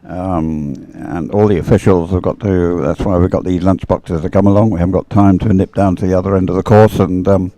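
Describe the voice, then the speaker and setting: A man's voice: sits low, fairly even intonation, brisk, few pauses, dark tone, no room sound. A man doing live sports commentary and off-the-cuff chat in a hesitant, rambling way, often repeating words.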